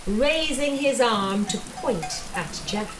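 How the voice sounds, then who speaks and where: A woman's voice: fairly high pitch, expressive, takes it slowly, crowd noise. A woman delivering scripted, dramatic story narration for a radio play. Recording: echoey, distant, loud storm noise.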